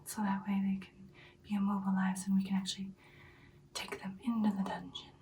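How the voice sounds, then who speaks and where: A woman's voice: medium pitch, completely flat delivery, brisk, warm tone, recorded from a distance, a little hiss or hum, natural voice. Whispering ancient vampire played by a woman. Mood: softly confident. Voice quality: whispered, breathy. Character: ancient confident female vampire girlfriend, expert sniper. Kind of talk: ASMR roleplay.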